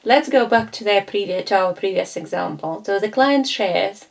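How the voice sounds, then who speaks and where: A woman's voice: medium pitch, normal speed, creaky. A woman giving a coaching lesson, explaining concepts and acting out short coach and client exchanges, with fillers and self-corrections. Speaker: coaching instructor. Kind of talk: lecture with role-play examples.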